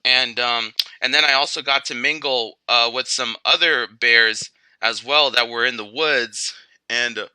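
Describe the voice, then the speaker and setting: Man as a storytelling teddy bear, very bright sharp voice, crisp, rambling, hesitant, chatty. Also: mid-range voice, a little slow, dry recording, rough recording. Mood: chatty, upbeat. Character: chatty male teddy bear who was once a grizzly. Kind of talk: casual first-person storytelling.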